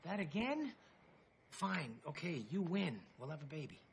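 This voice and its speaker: A man's voice: on the high side, sing-song, a little slow, vocal fry, a little hiss or hum, exaggerated voice. A man playing a self-centred, evasive husband in a comedy film, wheedling and defensive. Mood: pleading, grudging. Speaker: film character.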